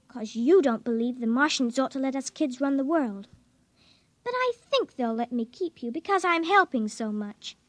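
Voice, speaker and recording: An actress playing an eerie, calmly sinister little girl in a 1950s radio drama. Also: high voice, moderate pace, no echo. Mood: matter-of-fact. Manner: matter-of-fact, chillingly calm.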